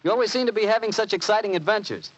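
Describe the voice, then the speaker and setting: A man's voice: high voice, racing. An American man announcing on 1940s radio in a folksy, emphatic salesman's manner. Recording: dull 1940s radio broadcast.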